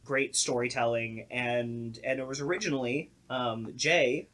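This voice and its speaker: A man's voice: medium pitch, fairly even intonation, a little slow, fairly bright tone. A man chatting casually and informally as the director of a radio play, with a sardonic, joking streak. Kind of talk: casual group podcast chat.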